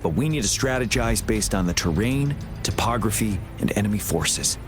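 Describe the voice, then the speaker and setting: A man acting as a game's army general, formal and measured, with music playing behind him. He speaks with steady authority and composure, as if briefing troops. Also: lowish voice, close to an everyday voice. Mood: resolute, authoritative. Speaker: military commander. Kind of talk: scripted video game dialogue.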